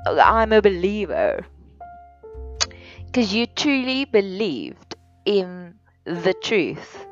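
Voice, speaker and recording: Slow, earnest Afrikaans-accented woman. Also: medium pitch. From South Africa. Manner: slow, deliberate, earnest delivery with pauses. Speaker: podcast host. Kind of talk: solo podcast monologue. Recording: dull lo-fi sound with faint music.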